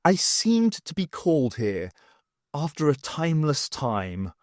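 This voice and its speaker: A man's voice: a little high, very animated, a little slow, no room sound, clean studio sound. A man voicing a fussy, blustering artist in an audiobook, rambling on in an airy, apologetic way. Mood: musing.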